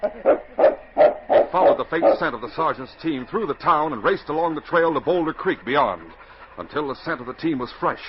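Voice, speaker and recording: A man's voice: on the high side, expressive, normal speed. A man narrating an adventure serial in dramatic, scripted 1940s radio style, on a muffled, noisy old recording.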